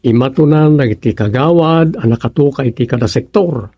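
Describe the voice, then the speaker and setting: An actor playing a chatty, daydreaming village man in a comic radio serial, with an Ilocano accent and a husky, gravelly voice. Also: medium pitch, fairly quick, warm tone, dry recording. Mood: eager, chatty. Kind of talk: comedic radio serial dialogue.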